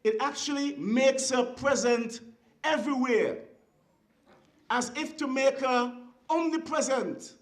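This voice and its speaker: Kittitian ceremonial speaker, very high voice, measured emphatic oratory, echoey venue. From Saint Kitts and Nevis. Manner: oratorical, deliberate, emphatic repetition in short phrases. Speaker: guest speaker at a school ceremony. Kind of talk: formal ceremonial public speech.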